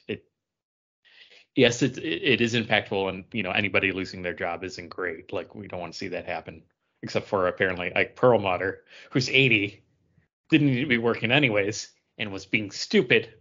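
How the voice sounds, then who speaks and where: A man's voice: on the low side, vocal fry, a bit bright, highs cut off. A man co-hosting a podcast in a casual, conversational panel chat. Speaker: podcast co-host.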